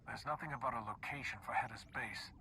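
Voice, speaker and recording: An actor playing a compassionate, calm male Jedi in a video game, earnest and measured, with a breathy, husky edge. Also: mid-range voice, slight background noise, poor audio. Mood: composed. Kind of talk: video game cutscene acting.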